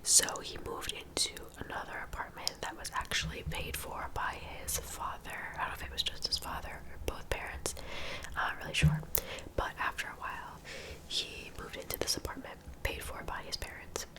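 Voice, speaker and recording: A woman whispering softly and informally in a breathy ASMR storytelling style, taking her time. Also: low-quality recording. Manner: soft, unhurried, informal. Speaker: ASMR host narrating true crime.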